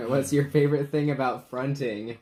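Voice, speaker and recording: Joking young man, relaxed chat. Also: medium pitch, measured pace. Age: young adult. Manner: relaxed, joking, chuckles a lot. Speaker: channel co-host. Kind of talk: casual get-to-know-you chat. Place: small bedroom indoors.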